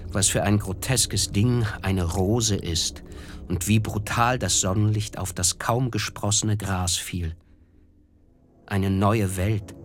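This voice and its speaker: An actor playing a reflective, melancholy narrator in a literary radio play, speaking in a measured, solemn way. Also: male voice, on the low side. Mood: somber, brooding. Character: reflective, melancholy male narrator recalling a tragedy.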